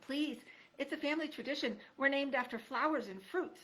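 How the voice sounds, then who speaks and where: Woman voicing a timid young girl deer mouse; high, pleading, frightened. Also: fairly quick, fairly bright tone, low-quality recording.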